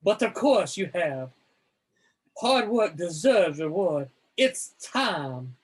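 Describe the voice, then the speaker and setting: A man voicing a scheming older woman in a comedic dramatic reading, with a smooth, coaxing, theatrical manner. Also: high-pitched, big pitch swings, slow pace, heavily acted, poor audio. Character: scheming older woman recruiting young women.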